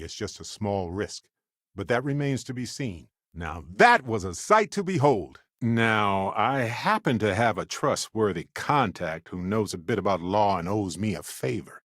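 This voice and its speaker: An actor playing an affable, witty, talkative space explorer in a video game, with an expressive, wry, folksy way of speaking. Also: male voice, fairly low pitch, no room sound, clean studio sound. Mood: reflective, wry, then excited, playful. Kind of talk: scripted video game character dialogue.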